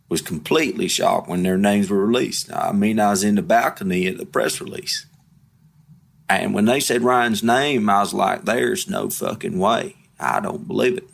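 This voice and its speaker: A young man, speaking in an interview, halting and repeating himself but blunt and emphatic. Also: lowish voice, gravelly. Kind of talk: candid interview.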